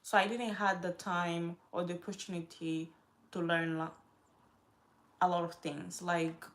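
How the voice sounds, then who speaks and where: A woman's voice: lowish voice, level tone, unhurried, fairly bright tone. A young woman from Africa talking casually to her camera as a vlogger, rambling and often interrupting herself as she loses her train of thought. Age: young adult.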